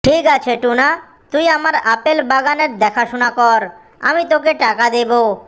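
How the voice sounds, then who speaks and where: A woman's voice: high-pitched, unhurried, fairly bright tone. A woman voicing a grumpy, possessive jackal in an animal cartoon, scolding and bossy.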